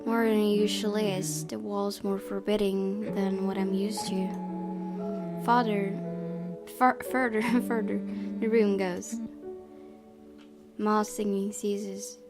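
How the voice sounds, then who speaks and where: Slightly raspy young woman reading a horror game. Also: medium pitch, fairly even intonation, slow. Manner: hesitant asides with frequent fillers, dramatic hushed reading. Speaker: let's play host. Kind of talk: reading a horror visual novel aloud with reactions. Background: loud game music.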